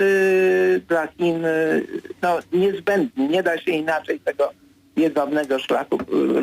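An older man, a political commentator, answering as a guest in a radio studio interview, hesitant with many fillers, then firm and brisk. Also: a little high, gravelly, poor audio.

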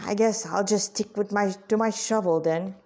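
A woman's voice: medium pitch, normal speed, warm tone, natural voice. A woman reading the inner grumbles of a weary, reluctant plant-like man in a story. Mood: grumbling.

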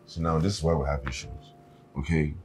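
An actor playing a strict husband and father who talks calmly and firmly. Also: rumbling low, a little fast, soft background music. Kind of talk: web drama acting.

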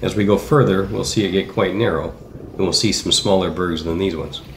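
A man reading a measured, explanatory voice-over for a sailing travel vlog. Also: low-pitched, fairly even intonation, a little hiss or hum. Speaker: sailor narrating travel vlog. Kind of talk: scripted voice-over narration. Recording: roomy echo, music underneath. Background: background music.

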